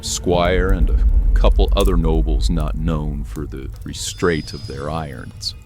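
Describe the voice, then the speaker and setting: A man voicing a weary, brooding French warrior of the Middle Ages in an audio story, speaking in a deliberate, reflective way. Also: a bass voice, steady tone, music in the background, voice pushed down. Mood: grave recounting.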